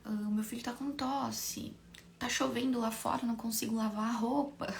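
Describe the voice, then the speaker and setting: A Brazilian woman, slightly hoarse with a cold, teaching an informal online class in a conversational, explanatory way with frequent tag questions and rhetorical questions. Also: fairly high pitch, steady tone, normal speed, slight background noise.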